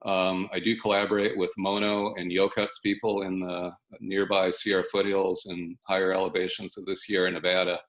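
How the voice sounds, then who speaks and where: A man in his sixties from South Dakota, giving a halting, restart-filled panel talk over a video call from his basement. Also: deep voice, level tone, fairly bright tone. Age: 60s. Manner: measured, halting, with many restarts. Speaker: panelist, fire scholar. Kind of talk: online panel presentation. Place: home basement.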